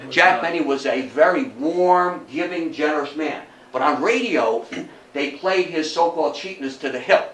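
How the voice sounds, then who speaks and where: A man giving an informal public lecture, talking conversationally and haltingly and often putting questions to his listeners. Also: a little high, a bit bright, slight background noise. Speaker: guest lecturer. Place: indoor meeting room, before a local audience. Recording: echoey room, distant microphone.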